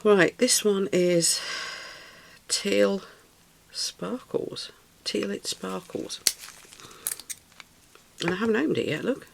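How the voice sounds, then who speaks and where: A British craft tutor talks through a resin project in a relaxed, rambling way and fondly admires each pigment. The voice is a bit stuffed up and snuffly. It carries a gravelly fry. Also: mid-range voice, slow, long pauses, slight background noise. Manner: chatty and rambling, thinks aloud, coos over materials. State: slightly snuffly, recovering from a cold. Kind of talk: casual hands-on craft tutorial.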